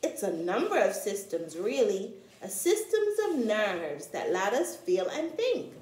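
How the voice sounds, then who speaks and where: A woman reading a children's picture book aloud in an expressive, deliberate storytelling manner, as if to young children. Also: medium pitch, roomy sound. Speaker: storytime reader, teacher-like.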